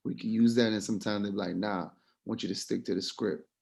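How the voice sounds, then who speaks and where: A man's voice: lowish voice, fairly even intonation, fast talker, vocal fry, a little mellow. A man in a casual group discussion, sharing acting experience in a loose, colloquial way.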